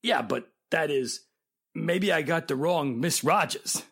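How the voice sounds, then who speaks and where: Radio-drama tough guy male actor: raspy, creaky, brusque and shifty delivery man. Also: on the high side, a little slow, a bit bright, full character voice. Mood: flustered. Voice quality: raspy, gravelly. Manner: brusque street-tough slang. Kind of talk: 1940s radio drama acting.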